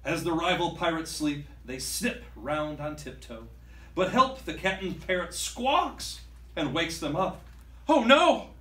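A man in his thirties reads a picture book aloud to young children in an expressive, playful way, with chatty asides. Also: on the high side, unhurried, far from the mic. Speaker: guest reader for a class of young children. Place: school classroom, standing before seated children. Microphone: distant camera microphone. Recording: echoey classroom from afar, faint noise.

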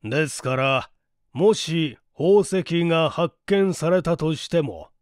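Troubled, courteous gentleman father played by a male reader; formal, unhurried, smooth voice for audiobook mystery. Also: on the high side, animated, no echo, clean studio sound. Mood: earnest, troubled. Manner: deliberate, formal explaining.

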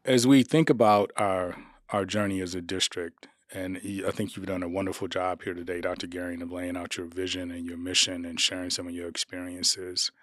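Middle-aged male podcast host, low voice, dry studio mic, affirming. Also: level tone, brisk, few pauses, dry recording. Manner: affirming, frequent murmured agreement. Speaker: podcast host, district official. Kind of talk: interview hosting. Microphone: studio boom mic.

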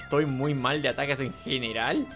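A Chilean man hosts a casual gaming playthrough in a middling-pitched voice. He talks to himself hesitantly and repeats words, with game music playing behind him. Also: steady tone, moderate pace, fairly bright tone. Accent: Chilean Spanish. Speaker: gaming video host. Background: video game music behind the voice.

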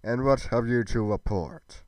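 A man voicing a haughty, suspicious ruler in a game roleplay, speaking in formal, measured, imperious old-fashioned phrases. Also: medium pitch, a little mellow, dry recording, pitched below the performer's own voice, close to an everyday voice. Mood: cold suspicion. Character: haughty, suspicious male ruler questioning his informant. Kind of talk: amateur game roleplay drama.

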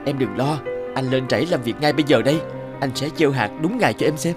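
A man voicing a hardworking, determined young peasant farmer in a cartoon, earnest and expressive. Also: medium pitch, fast talker, over music, close to an everyday voice. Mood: tender resolve. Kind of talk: cartoon dialogue acting.

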